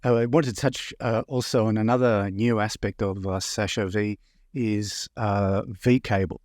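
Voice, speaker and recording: Low-voiced male interviewer, dry sound. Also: steady tone, unhurried, a little mellow, dry recording. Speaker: interviewer, audio magazine editor. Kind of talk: conversational interview questioning.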